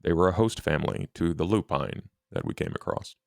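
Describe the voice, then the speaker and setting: A man improvising in-character dialogue in a tabletop game in a formal, deliberate, businesslike manner. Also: low-pitched, vocal fry, a little mellow, no echo, clean studio sound. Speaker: player in a tabletop role-playing game.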